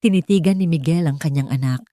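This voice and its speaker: A woman's voice: low voice, quick pace, warm tone, dry recording, clean studio sound. A woman with a Filipino accent reading a scripted, dramatic story as an audiobook narrator.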